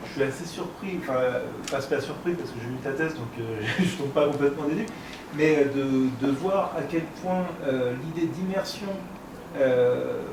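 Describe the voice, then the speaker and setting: A man making critical, analytical points in a discussion, with academic wording and halting phrasing. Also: on the high side. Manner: hesitant phrasing, articulate, academic vocabulary. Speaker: discussion participant, longtime game observer. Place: convention room. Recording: echoey room, distant mic, background noise.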